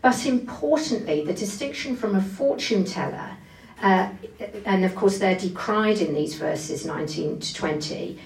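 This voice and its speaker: A woman's voice: mid-range voice, expressive, unhurried, vocal fry, darkish tone, a little hiss or hum. A woman giving an expository Bible sermon, explaining carefully and leaning on key phrases. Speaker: preacher giving a Bible talk. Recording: echoey room, distant microphone.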